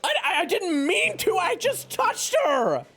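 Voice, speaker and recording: A man acts an excitable young adventurer narrating a fantasy audio drama. His pitch swings wildly as he turns sarcastic and expressive. The sound is echoey, with clear music underneath. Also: unhurried, heavily acted. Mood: panicked. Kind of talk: first-person narration and audio roleplay acting.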